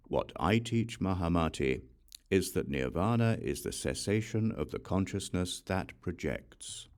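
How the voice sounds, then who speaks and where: A man narrating scripture from a script in a measured, solemn manner. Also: low-pitched, gravelly, a little mellow. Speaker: audiobook narrator.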